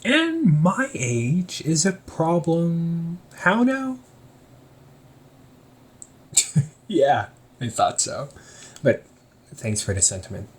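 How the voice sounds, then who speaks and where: A man's voice: a little high, very slow, long pauses. A man voicing a teasing young shopkeeper. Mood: wistful. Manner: lively, teasing, laughs often. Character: easygoing male shop owner talking to his sibling. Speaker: audio roleplay performer. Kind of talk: one-sided audio roleplay.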